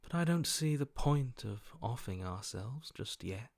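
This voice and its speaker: A cold, amoral male voice in someone's head for a video game. He speaks slowly at a middling pitch, with detached, matter-of-fact calm and a dry sardonic edge. The sound is close and dry, with no room around it. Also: vocal fry, no echo. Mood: detached, philosophical. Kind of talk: video game character acting.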